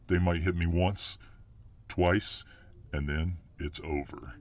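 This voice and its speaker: A man's voice: deep voice, fairly even intonation, slow, dry recording. A man playing a dominant, boastful, hot-tempered muscular fireman boyfriend in an ASMR roleplay audio drama. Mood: cocky, casual. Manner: boastful, cocky swagger.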